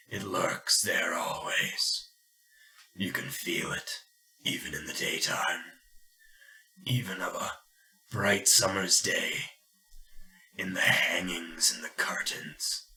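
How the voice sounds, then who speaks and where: Casting: a man as a brooding old man in a gothic tale, hushed breathy low voice, slow menacing delivery. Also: distant mic, exaggerated voice, low-quality recording. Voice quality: breathy, whispering. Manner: slow, ominous. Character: brooding old man in a shade, grimly superstitious. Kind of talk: dramatic reading of gothic horror story.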